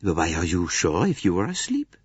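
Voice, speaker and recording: A man playing a fastidious older detective, low-voiced and a little husky. He questions politely and keeps everything neat and orderly. The sound is dry and dull. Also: wildly swooping pitch, no room sound, heavily acted. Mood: probing. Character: fastidious, courteous older male Belgian detective. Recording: dull dry studio recording.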